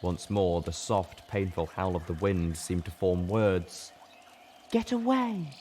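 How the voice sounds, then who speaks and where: Deep-voiced man narrating a dramatic story. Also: little pitch movement, measured pace, dark tone. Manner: intense, theatrical. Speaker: reader of a fan fiction story. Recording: clearly noisy background.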